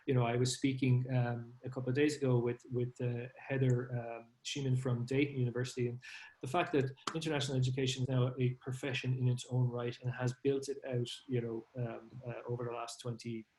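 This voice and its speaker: A man's voice: mid-range voice, little pitch movement, a little fast, darkish tone, roomy sound, poor audio. A man hosting a podcast interview, asking long, rambling questions full of hesitations and fillers. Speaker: podcast host and CEO.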